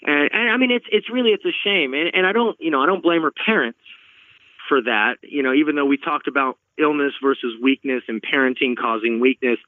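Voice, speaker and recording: A man's voice: on the high side, fairly quick, dry recording, phone-quality sound. A middle-aged man from Los Angeles hosting a podcast, chatting earnestly and often repeating words as he starts a sentence. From Los Angeles, California. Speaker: podcast host. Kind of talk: casual conversational chat.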